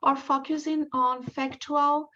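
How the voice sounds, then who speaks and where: A woman from Brazil with a strong Brazilian accent, a Portuguese speaker giving a prepared academic lecture in a careful, measured way with frequent ums. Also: sits high, little pitch movement, pushed and bright, highs cut off. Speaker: guest lecturer.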